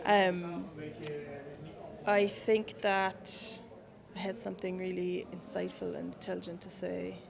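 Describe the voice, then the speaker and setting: A woman's voice: on the low side, flat delivery, unhurried, creaky, a crowd in the background. A young woman with a Cork Irish accent, a council worker as the guest in a casual interview, chatty and laughing often. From Ireland. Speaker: city council staff guest.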